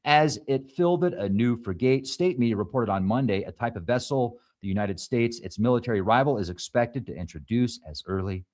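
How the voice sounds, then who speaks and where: A man's voice: medium pitch, normal speed, few pauses, darkish tone. Non-native English-speaking man, mid-range voice, reading headlines and trips on names. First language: not English. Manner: reads articles aloud, stumbles on names, adds asides. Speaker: show host. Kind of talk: reading news headlines with commentary.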